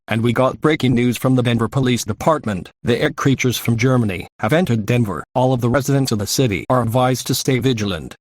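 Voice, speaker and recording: A man's voice: mid-range voice, dry recording, lightly acted. Man as a formal local news anchor, dry sound. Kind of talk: scripted breaking news bulletin.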